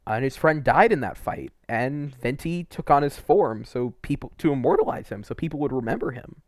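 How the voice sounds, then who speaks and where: Man, mid-pitched voice, casual opinionated commentary, rambling, emphatic stresses, dry sound. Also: lively intonation, fairly quick, no echo. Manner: rambling, frequent "like" and mild swearing. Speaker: video creator reviewing game quests.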